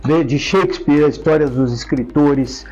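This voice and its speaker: A man's voice: a little high, moderate pace, darkish tone. An older man with a Brazilian Portuguese accent telling stories as an interview guest, enthusiastic and emphatic, often repeating names. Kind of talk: conversational storytelling interview. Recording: slightly dull sound, faint music behind.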